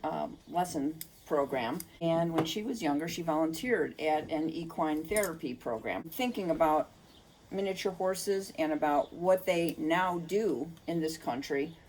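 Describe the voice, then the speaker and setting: A woman's voice: deep voice, measured pace, a little mellow, slight background noise, rough recording. An older woman, a children's author, giving earnest, unscripted, explanatory answers in an interview.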